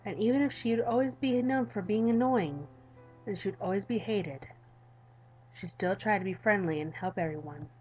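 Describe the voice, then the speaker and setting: A woman's voice: mid-range voice, animated, moderate pace, soft background music. Woman gaming narrator, slightly lo-fi sound. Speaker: gaming YouTuber. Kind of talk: visual novel read-aloud and casual commentary.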